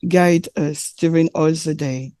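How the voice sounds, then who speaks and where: A woman speaking English with a French accent, French being her first language. She preaches slowly and haltingly, often pausing on fillers, in a soft, mellow tone. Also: deep voice, fairly even intonation. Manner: hesitant, frequent filler pauses. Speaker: presenter. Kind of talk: sermon with consecutive interpretation.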